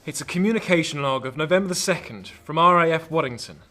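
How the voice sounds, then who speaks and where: A man's voice: on the high side, animated, fast, pushed and bright. A man acting in a radio drama as an anxious, protective intelligence officer, urgent and cautioning. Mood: guarded, urgent. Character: anxious, earnest male intelligence officer, protective of his lover. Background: music.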